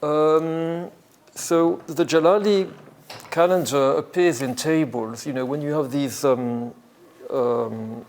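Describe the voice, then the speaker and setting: A man giving an academic talk off the cuff, hesitant and halting as he thinks aloud. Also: a little high, a little mellow. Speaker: academic lecturer. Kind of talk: academic talk with extempore explanation.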